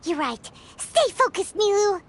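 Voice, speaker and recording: A woman voicing a tiny, excitable childlike sprite companion with a squeaky voice in a video game. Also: a little slow, gravelly, a little hiss or hum, full character voice. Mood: eager, worried. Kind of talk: scripted game voice acting.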